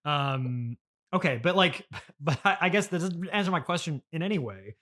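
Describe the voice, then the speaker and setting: A man in an improvised comedy chat, playing himself as interviewer, halting, hesitant and awkwardly polite, often repeating himself. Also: on the high side, lively intonation, fairly bright tone.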